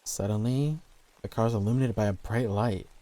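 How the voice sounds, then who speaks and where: A man's voice: lowish voice, a little fast, warm tone. A man reading scripted horror stories as the narrator.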